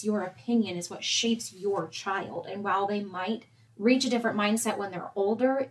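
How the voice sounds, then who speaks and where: A young woman co-presenting, talking parents through advice in a steady, explanatory way and addressing them directly. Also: medium pitch. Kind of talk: semi-scripted advice talk.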